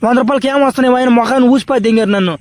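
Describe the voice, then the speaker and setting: A man's voice: very high-pitched, racing, a little mellow. A man voicing a cowardly, grumbling underling in a comedy cartoon, in a rambling, complaining way. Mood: aggrieved complaining. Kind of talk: comic cartoon dubbing.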